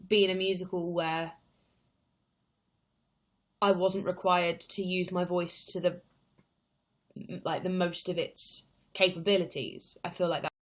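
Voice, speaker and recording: Chatty young woman reading out viewer questions. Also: lowish voice. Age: 20s. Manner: chatty and rambling, reads viewer questions aloud. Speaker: livestream host answering viewer questions. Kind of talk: casual live chat.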